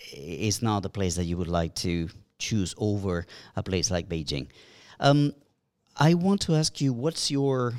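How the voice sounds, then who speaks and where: Guy with a lowish voice, opinionated, keeps pushing questions, dry close sound. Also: dry recording. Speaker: co-host. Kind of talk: casual discussion.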